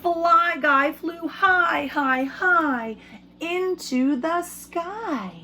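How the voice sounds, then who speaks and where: A woman speaking as an elementary school teacher, reading aloud to children in simple, expressive language and putting questions to them. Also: very high voice, drawn-out words, slight background noise.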